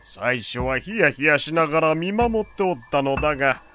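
A man's voice: fairly high pitch, expressive, normal speed, sharp tone, a little hiss or hum. An actor playing a proud, doting, wealthy old father who talks in pompous old-fashioned speech in an audio drama. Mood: fond, proud. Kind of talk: Japanese audio drama acting.

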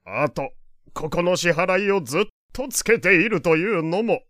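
A wealthy elderly gentleman in an audio drama. His fairly high voice rises and falls freely as he speaks in grand, archaic phrases with a teasing courtesy. The sound is close and dry. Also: expressive, normal speed, no room sound. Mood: scolding. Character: elderly male wealthy patron, genteel, teasing, old-fashioned. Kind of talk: Japanese audio drama acting.

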